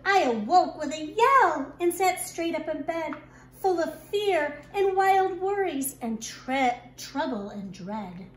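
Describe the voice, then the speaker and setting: Woman, kindergarten teacher, high voice, expressive picture-book reading, echoey room. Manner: expressive, deliberate storytelling delivery. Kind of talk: reading a rhyming picture book aloud to young children. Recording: echoey room with clear background noise.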